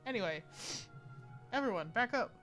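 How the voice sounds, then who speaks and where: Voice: medium pitch, expressive, moderate pace, a bit bright, soft background music. A game streamer giving casual live commentary, informally speaking up as the player character.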